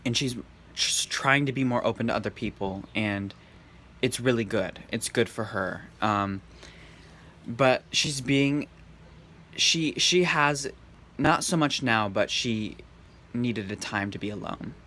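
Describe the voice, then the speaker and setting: A man giving a casual tarot reading in a calm, serene, monotone way. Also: mid-range voice, slow pace. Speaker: tarot reader. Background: faint background noise.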